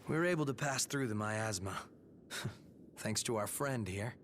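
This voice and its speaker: An easygoing, jokey game fighter. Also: male voice, fairly low pitch, animated, unhurried, a bit bright, slight background noise. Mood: relaxed, curious. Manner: casual, breezy, teasing. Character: easygoing, friendly young male fighter, jokey. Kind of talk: English video game dub acting.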